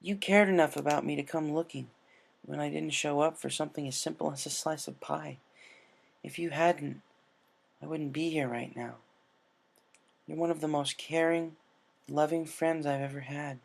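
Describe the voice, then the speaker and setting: An earnest, stubborn cartoon pony mare as a male reader puts her on. The voice sits somewhat high and carries open emotion, suited to a heartfelt storybook tale. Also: steady tone, moderate pace, creaky, pauses often. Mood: tender, vulnerable. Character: excitable, earnest female cartoon pegasus pony, grateful and stubborn.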